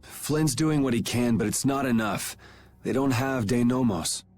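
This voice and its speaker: An actor playing a cool, guarded young adventurer in a video game, talking in a dry, laconic, casual way. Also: male voice, mid-range voice, unhurried, a little mellow, natural voice. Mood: terse, resolute. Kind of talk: voice acting in an English video game cutscene.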